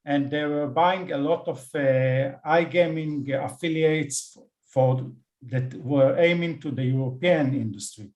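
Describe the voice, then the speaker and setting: A man answering as a guest on an online panel, measured, with fillers. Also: on the high side, darkish tone. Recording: roomy echo.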